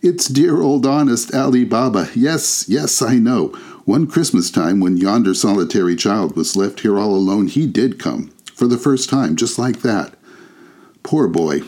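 A man voicing an excitable, emotional old man, childlike and earnest, in an audiobook. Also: on the low side, normal speed. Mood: delighted, excited. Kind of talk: audiobook character voice.

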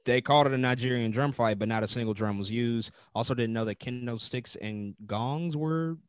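A man's voice: mid-range voice, moderate pace, smooth, no echo. Chill guy giving his take, lots of ums, mid voice, dry recording. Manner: relaxed, thoughtful, with fillers. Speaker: wrestling podcast co-host. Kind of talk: casual chat.

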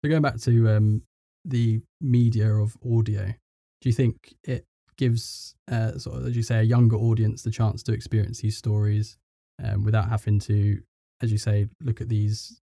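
A man with a low, very dark and mellow voice interviews on a podcast. His delivery is flat and hesitant but conversational, and it sounds dry and close. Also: moderate pace, creaky, few pauses, no room sound.

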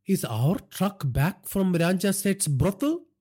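A man voicing a hot-tempered older Indian crime boss father in an audiobook, gruff, coarse and domineering. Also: high-pitched, expressive, unhurried, voice pushed up. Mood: alarmed, angry. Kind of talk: audiobook character dialogue.

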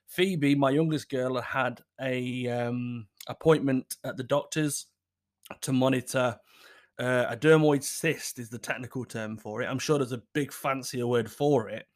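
Male podcast host, scratchy sore throat, rambling casual chat, apologetic. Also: mid-range voice.

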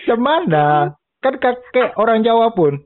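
A man's voice: very high voice, big pitch swings, measured pace. A man hosting a podcast in casual chat, listening closely and dropping in frequent short affirmations. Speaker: podcast host. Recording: tinny phone line.

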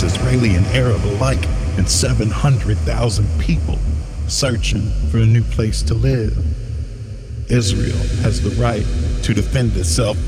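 A man delivering a scripted dramatic monologue, with ominous repeated refrains and pointed rhetorical questions to the listener. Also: on the low side. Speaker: narrator and host of an audio drama feed. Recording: long reverb, clearly noisy ambient bed.

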